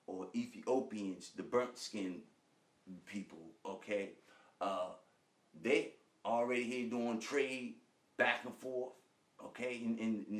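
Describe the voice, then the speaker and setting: A man's voice: on the low side, recorded from a distance. A middle-aged man, talking loosely about his book to his viewers in street slang. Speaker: author and host. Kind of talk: casual monologue book review. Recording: echoey room, voice away from the mic.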